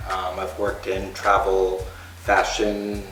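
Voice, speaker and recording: A man from the northeastern United States, a guest chatting casually on an interview show, relaxed and full of fillers. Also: low-pitched, slow, faint music behind. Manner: relaxed, with many fillers and restarts. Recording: echoey room from a distant mic.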